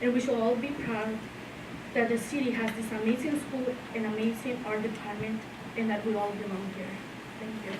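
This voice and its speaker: A woman's voice: fairly high pitch, little pitch movement, normal speed. A woman in her late teens from Honduras whose first language is Spanish, giving an earnest, heartfelt prepared speech as a student speaker. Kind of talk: prepared speech at a school event. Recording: echoey room, voice from far off, noisy.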